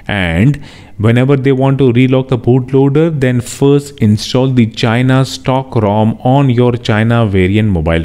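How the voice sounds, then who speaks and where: Mellow-voiced man giving a tutorial. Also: mid-range voice. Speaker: tech tutorial host. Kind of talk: step-by-step tutorial narration.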